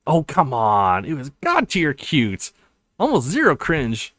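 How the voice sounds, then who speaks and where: A man reading visual novel dialogue as a wry, caring adult brother who gently teases his sister. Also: fairly high pitch, huge pitch swings, slow pace, no room sound, studio quality, heavily acted. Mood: teasing.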